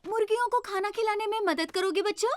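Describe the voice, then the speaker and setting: A woman voicing a kindly grandmother rabbit in a Hindi-dubbed preschool cartoon, gentle and reassuring as she guides children. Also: extremely high pitch, fast talker. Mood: helpful.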